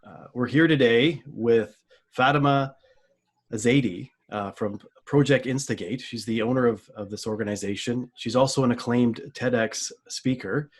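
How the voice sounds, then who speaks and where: A male podcast host with a mid-range voice, leading a casual interview. He speaks haltingly, filling gaps with "uh" and "you know" and often repeating words before he finds the phrase. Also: mid-range voice, husky.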